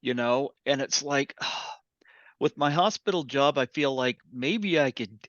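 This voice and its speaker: Bright-voiced man on a dull dry mic. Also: medium pitch, no echo. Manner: earnest, halting, sighs between thoughts. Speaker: podcast host. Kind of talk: casual interview chat.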